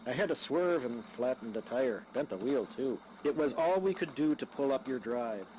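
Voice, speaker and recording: A man's voice: mid-range voice, lively intonation, moderate pace, darkish tone, close to an everyday voice, poor audio. A man playing a casual, polite young husband, a stranded traveler in an audio drama. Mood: polite, apologetic. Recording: faint background noise.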